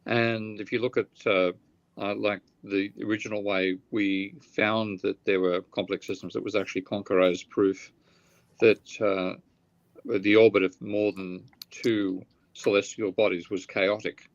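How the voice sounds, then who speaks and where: A tired man whose voice is getting croaky, explaining ideas in a live online discussion, thinking aloud with halting restarts. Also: fairly low pitch, little pitch movement, muffled recording. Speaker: guest economist. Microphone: video call.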